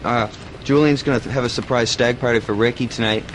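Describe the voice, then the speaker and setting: A laid-back young slacker, played by a man. He talks quickly. The street noise is clear behind him. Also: medium pitch, fairly even intonation, lightly acted. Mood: tipsy.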